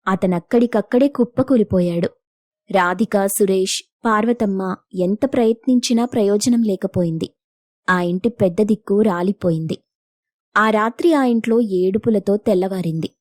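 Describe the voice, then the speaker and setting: A woman's voice: medium pitch, moderate pace, a little mellow, no room sound, clean studio sound. A woman reading scripted narration for a moral story as its narrator.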